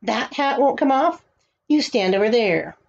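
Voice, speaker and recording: A woman voicing a proud, bratty little boy duke with a high, whiny, mean sneer. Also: animated, unhurried, creaky, heavily acted. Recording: dull lo-fi home recording.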